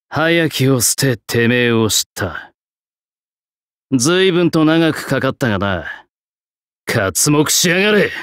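A man playing a proud, brusque old swordsmith spirit in a young body for an anime game, with rough, old-fashioned, terse speech. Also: a little high, no echo, clean studio sound. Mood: solemn, intense. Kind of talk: anime game battle voice lines.

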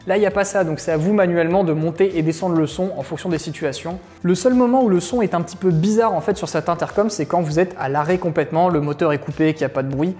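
A man's voice: fairly high pitch, quick pace, hardly any pauses, warm tone. A man, giving a casual, explanatory product review in a conversational way. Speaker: motorcycle gear reviewer. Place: small indoor room at a desk.

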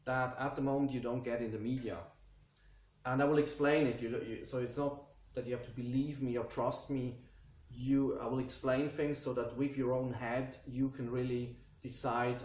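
A man in his thirties from Switzerland with a Swiss accent, speaking earnestly and unhurriedly straight to camera in a small room. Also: mid-range voice. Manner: earnest, unhurried, gestures a lot. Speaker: vlogger. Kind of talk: direct-to-camera commentary. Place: small indoor room by a brick wall. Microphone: camera mic at mid distance. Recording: echoey room.

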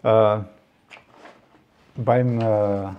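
A man's voice: lowish voice, level tone, extremely slow, phone-quality sound. A man talking casually to camera as a tech vlogger, rambling with many fillers and asides. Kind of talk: casual product talk to camera.

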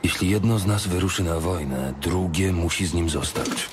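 An actor playing a noble, earnest paladin with a teasing streak in an audiobook drama. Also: male voice, deep voice, normal speed, very noisy, lightly acted. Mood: grave, worried.

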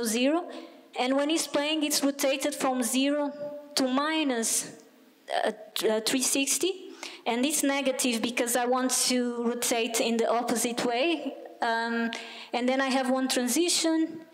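A young woman giving a technical talk with a live demo, explaining step by step in a halting way. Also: a little high. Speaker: conference speaker, product designer. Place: small indoor meetup venue, on stage before seated audience. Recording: long hall reverb.

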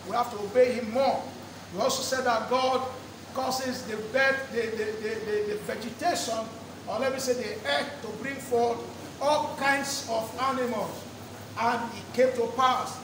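High-voiced preacher in an echoey church hall. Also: male voice. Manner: stretches key words slowly, repeats phrases, rises to emphatic peaks. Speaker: pastor. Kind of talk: sermon. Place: church hall with draped walls. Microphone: lectern microphone. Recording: echoey church hall, distant mic, background noise.

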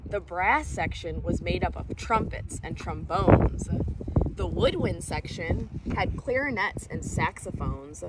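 Young female storytime reader, animated intonation at a slow pace, recorded outside for a children's book read-aloud. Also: medium pitch. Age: young adult. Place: outdoors in woods by a creek. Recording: clear outdoor background noise, clicks.

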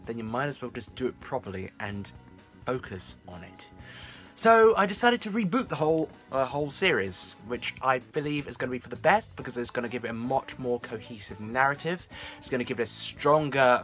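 A man's voice: medium pitch, a bit bright. A young man talking casually to camera as a fan series creator, chatty, with self-deprecating asides. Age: young adult. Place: small room indoors at home.

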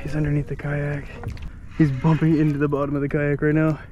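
A young American man giving casual, excited running commentary to his viewers while fishing from a kayak outdoors, picked up by a camera mounted on the boat. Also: fairly high pitch, fairly quick, muffled recording, background noise. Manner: excited, loose, swears casually, talks to viewers as guys. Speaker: fishing vlogger. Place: kayak on open shallow water outdoors. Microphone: action camera mounted on kayak.

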